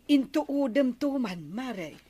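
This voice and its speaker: A gossipy, helpful village neighbor chats in an Ilocano radio comedy drama. Her voice is slightly high and unhurried, with an Ilocano accent. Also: animated, mellow, breathy edge, exaggerated voice.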